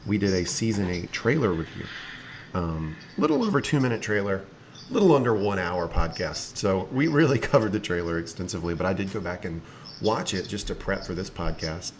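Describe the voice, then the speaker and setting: A man hosting a podcast in casual chat, playful and animated, with a slight rasp in his voice. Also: deep voice, fairly quick, slight background noise. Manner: playful, animated, laughs often. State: slightly raspy from lost voice. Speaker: podcast host.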